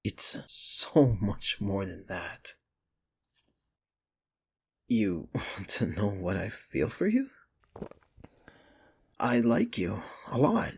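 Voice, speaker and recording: Man acting as a low, husky smitten office rival. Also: very animated, exaggerated voice. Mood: earnest, vulnerable. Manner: intimate, teasing, halting confessions to a listener. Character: smitten male office rival confessing, teasing and flustered. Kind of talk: ASMR romance roleplay, intimate acting.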